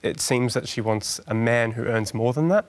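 A man in his thirties with a soft voice, speaking gently and thoughtfully as a guest on a studio panel. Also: medium pitch, normal speed. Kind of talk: studio discussion. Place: large television studio.

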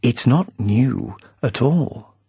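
A man's voice: mid-range voice, expressive, extremely slow, mellow, slightly rough, dry recording, studio quality, pitched above the performer's own voice, full character voice. A man voicing a chipper, suave English spymaster in a storybook, with jaunty upper-class patter and drawled endearments. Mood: breezy, amused. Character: chipper, suave British spymaster, breezy and affable.

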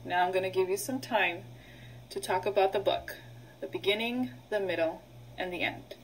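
A woman preschool teacher reading aloud and drilling vocabulary for young children in short, simple instructional phrases with pauses. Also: mid-range voice, background noise.

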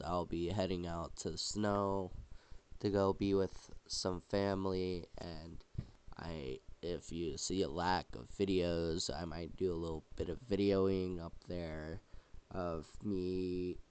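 A young man hosting a casual holiday vlog while sick with a cold, coughing now and then and rambling with halting restarts. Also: low-pitched, a little mellow, clear, resonant tone. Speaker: channel host.

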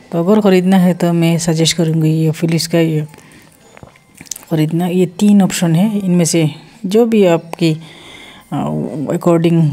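A woman with a lowish, mellow voice talks through a casual home product review. She speaks in a flat, even tone and rambles, restarting sentences and mixing in English words. It sounds like a phone camera recording in a small room. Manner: chatty, rambling, with frequent restarts and English mixed in.

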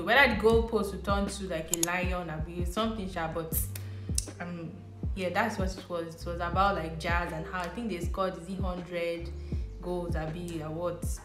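A young woman in her twenties with a Nigerian accent, chatting casually to camera as she answers questions one by one. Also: lowish voice. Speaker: vlogger. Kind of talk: casual vlog talk to camera. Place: living room indoors. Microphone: lapel mic. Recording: echoey room with music behind. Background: background music.

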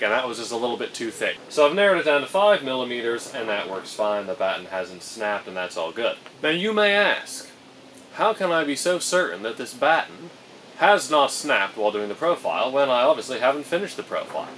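Young man explaining calmly, slightly distant. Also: medium pitch, moderate pace, slight background noise. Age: young adult. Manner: clear, methodical, dryly humorous. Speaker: boatbuilding vlogger. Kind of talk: explaining a woodworking build to camera. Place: plastic-sheeted polytunnel workshop, indoors.

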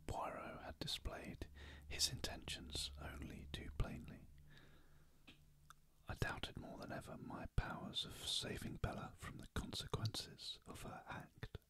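ASMR narrator: whispered throughout, slow and bright.

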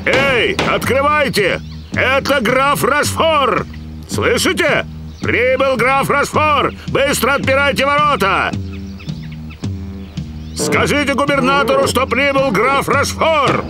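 A man playing an excitable, dramatic, emphatic character in a dubbed cartoon. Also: extremely high pitch, slow pace, long pauses, music in the background, heavily acted. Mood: loud, commanding.

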